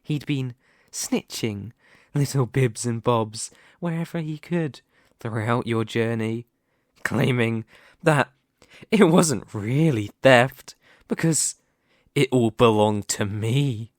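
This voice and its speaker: Casting: male actor for demon lord, fantasy ASMR roleplay. Mid-pitched, extremely unhurried, dominant, taunting, a touch crazed. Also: no room sound, studio quality, close to an everyday voice. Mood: smug gloating. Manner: slow, menacing, taunting with drawn-out words.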